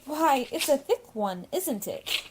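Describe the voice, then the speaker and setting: A woman's voice: high voice, sing-song, takes it slowly, background noise, heavily acted. A woman acting a sweet, vibrant young woman in love in a theatrical radio play. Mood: delighted.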